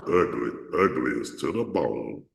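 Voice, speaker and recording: A man's voice: animated, unhurried, vocal fry. A man from Atlanta, hosting a show and telling stories in a rambling, profane way with lots of restarts. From Atlanta, Georgia. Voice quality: deep voice. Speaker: show host. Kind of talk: casual storytelling monologue. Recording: echoey room, mic at a distance.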